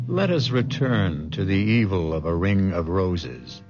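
Ominous old-time radio mystery host, very low and gravelly, dramatic, loud music behind him. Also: male voice, sing-song, unhurried, lo-fi sound, full character voice. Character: ominous male host of eerie mystery tales. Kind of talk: theatrical radio drama narration.

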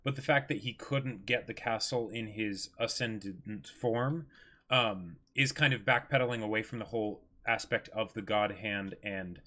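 A man in his twenties with an American accent gives casual commentary as a stream co-host, in a measured, hesitant, agreeable way. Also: on the low side, bright, forceful tone. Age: 20s. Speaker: co-host of a manga reading stream.

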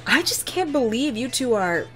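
A woman's voice: fairly high pitch, very animated, normal speed. A woman playing a tough, protective, blunt teenage girl from a 1950s gang in a comic dub. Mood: wary. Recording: music behind the voice.

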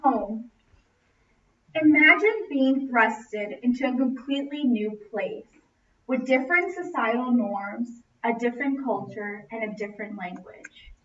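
A woman's voice: a little high, expressive, unhurried, a bit bright. A young woman from Los Angeles with an American accent gives a prepared narrative speech, projecting expressively to a classroom. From Los Angeles, United States. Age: young adult. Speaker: student speaker. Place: classroom, indoors. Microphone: distant camera microphone. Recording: roomy, distant, muffled, noisy camera audio.

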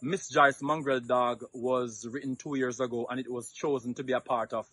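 A man in his thirties with a Jamaican accent introducing himself to camera outdoors, upbeat, with frequent "um" pauses. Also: medium pitch, fairly even intonation, fairly bright tone, husky, no room sound. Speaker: dance instructor and cultural ambassador. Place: outdoors among green foliage.

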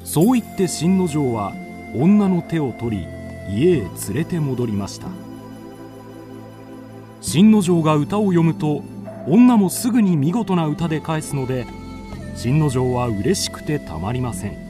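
A man's voice: a little high, big pitch swings, unhurried, over music. A man narrating a scripted ghost tale as the storyteller of a radio drama.

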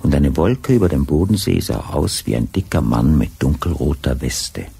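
An actor narrating a crime radio drama as an unhurried storyteller, measured and deliberate in his literary delivery. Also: extremely low pitch, animated, gravelly, dark tone, slightly rough, full character voice.

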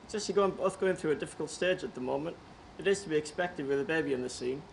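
A man's voice: fairly high pitch, fast, gravelly, slight background noise, low-quality recording. A man playing an earnest, reasonable voice of good conscience in an amateur radio play. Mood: pleading, reasonable. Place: large conference room before an audience.

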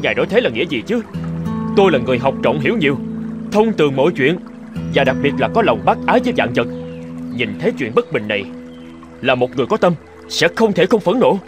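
A man's voice: fairly high pitch, very animated, quick pace, pauses often, music in the background. An actor playing a self-righteous, argumentative young male scholar in a cartoon. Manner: argumentative, boastful, exclamatory. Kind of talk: Vietnamese cartoon dubbing.

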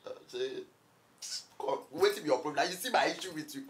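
A high, swooping voice from a broke young Nigerian guy full of excuses. He is exclamatory and repeats himself in comic melodrama. The room rings with echo. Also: expressive, fairly quick, fairly bright tone. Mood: dramatic, self-pitying. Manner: repetitive, pleading, exclamatory. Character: broke, excuse-making young male friend, comically dramatic. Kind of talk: screen drama dialogue in Pidgin English. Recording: echoey, reverberant room.

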